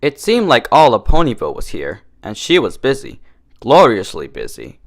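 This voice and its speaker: A man's voice: medium pitch, wildly swooping pitch, normal speed, creaky. A man reading a story aloud as scripted narration. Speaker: fan fiction reader.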